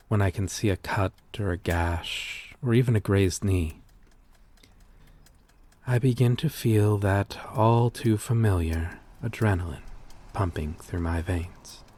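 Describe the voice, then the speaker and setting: A man playing a gentle, weary, lonely vampire in an intimate ASMR roleplay, speaking in hesitant, formal, confessional phrases. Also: lowish voice. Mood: restrained, tempted. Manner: hesitant, confessional, formal old-fashioned wording.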